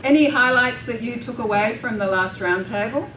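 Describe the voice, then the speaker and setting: A woman's voice: on the high side, moderate pace, bright, forceful tone. Woman moderator in an echoey room. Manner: friendly, prompting questions. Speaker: roundtable moderator. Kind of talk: moderating a casual roundtable. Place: meeting room roundtable. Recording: echoey room from far off, background noise.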